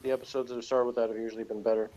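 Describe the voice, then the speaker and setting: A man's voice: mid-range voice, flat delivery, racing, mellow, slight background noise, poor audio. Guy just chatting about a tv episode, opinionated, kinda wry, offhand review style. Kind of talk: casual chat, TV episode review.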